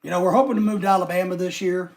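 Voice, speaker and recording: A man's voice: sits high, steady tone, racing. A man hosting a casual live stream with a head cold, rambling and reading out viewer comments.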